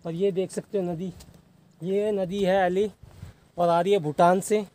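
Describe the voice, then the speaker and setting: A man's voice: sits high, level tone, unhurried, dark tone. A man in his twenties from Haryana, India, talking casually to camera as a hitchhiking travel vlogger. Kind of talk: casual vlog narration to camera. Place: outdoors on bridge and highway, later in a car. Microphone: phone or camera at arm's length. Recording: noisy outdoor roadside and vehicle noise.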